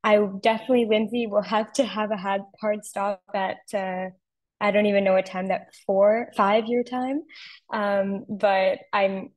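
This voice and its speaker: Student panelist: young female, average pitch, chatty and informal delivery on a video call. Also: little pitch movement, unhurried. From Toronto, Canada. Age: young adult. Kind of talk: casual self-introduction on a video call.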